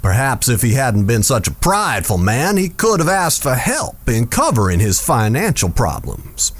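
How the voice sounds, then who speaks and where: An actor playing a smooth, scheming town boss in a western audio drama, measured, self-assured and insinuating. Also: male voice, medium pitch, huge pitch swings. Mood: smug, insinuating.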